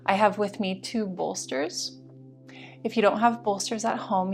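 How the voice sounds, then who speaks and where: Soothing woman guiding yoga over music. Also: mid-range voice. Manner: soothing, slow and deliberate phrasing. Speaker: yoga teacher. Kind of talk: guided meditation instruction.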